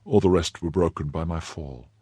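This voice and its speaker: A man's voice: deep voice, normal speed, mellow, slightly rough, no room sound. A man voicing a poor, fearful innkeeper who pleads and fawns in a raised voice. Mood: pleading.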